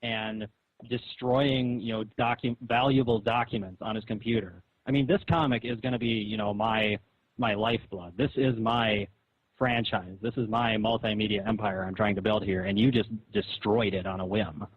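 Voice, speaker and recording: A man arguing on a phone call in a halting, repetitive, reproachful way, as a comic artist. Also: on the low side, steady tone, breathy edge. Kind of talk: argumentative phone conversation. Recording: dull roomy phone call recording.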